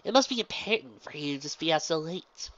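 A woman's voice: low-pitched, lively intonation, a little fast, a bit bright, pitched above the performer's own voice. A woman doing a wry, wary pony gym leader. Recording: dull, dry lo-fi recording.

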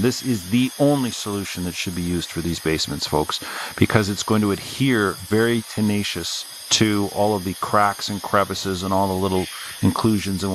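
A man with a fairly low voice talks through a basement insulation job as a contractor. He speaks in a plain, practical way, often pausing with "uh" as he explains. A faint hiss sits under the voice-over. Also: a bit bright, low-quality recording. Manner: plain-spoken, practical, frequent "uh" fillers. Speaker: spray foam contractor.

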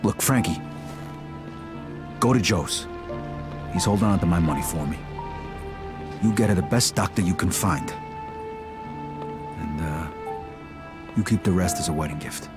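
A tough young inmate in a video game cutscene. His voice is low and streetwise, and music plays clearly underneath. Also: a little slow, pauses often. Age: young adult. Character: young male Italian-American inmate, tough and cocky.

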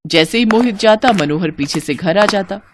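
A woman's voice: mid-range voice, lively intonation, normal speed, noisy background. A woman narrating a scripted cartoon story in a dramatic storytelling style.

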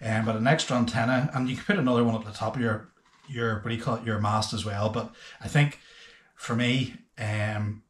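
A man, hosting a casual boating explainer to camera in a hesitant, unscripted way with frequent pauses. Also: lowish voice, steady tone. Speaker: boating video host. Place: small indoor workshop room with bare walls. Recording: echoey bare room.

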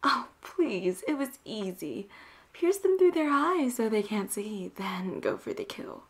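A woman acting a flirty, dominant and cocky female pirate captain in a roleplay audio drama, teasing and breathy, often dropping toward a whisper. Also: mid-range voice, wildly swooping pitch, unhurried, exaggerated voice. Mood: smug, boastful. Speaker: voice actor.

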